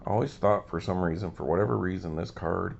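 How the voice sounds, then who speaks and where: A man's voice: sits low, fast, vocal fry, darkish tone, lo-fi sound. A man hosting a casual show-and-tell of his cards, easygoing and rambling with lots of ums, his voice a little scratchy today. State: slightly scratchy voice. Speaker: card collecting channel host.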